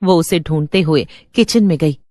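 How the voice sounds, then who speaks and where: A woman's voice: deep voice, moderate pace, mellow, no echo, studio quality. A woman narrator reading a scripted horror story in a dramatic storytelling style.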